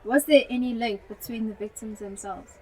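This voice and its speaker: Higher-pitched woman detective , acted, inquiring. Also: moderate pace, darkish tone, lightly acted, poor audio. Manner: inquiring, matter-of-fact. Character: inquisitive female police detective, the partner. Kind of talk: radio drama acting.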